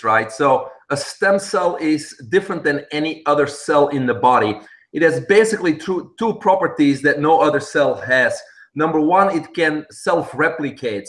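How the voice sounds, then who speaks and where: A middle-aged man from Belgium with a Belgian accent, answering interview questions and explaining things plainly, step by step. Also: a little high. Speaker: guest, stem cell clinic head.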